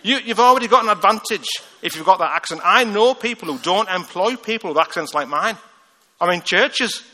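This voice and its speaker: A man with a Liverpool accent preaching a lively, joking sermon and reading scripture with acted voices. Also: sits high, fairly quick. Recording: long hall reverb.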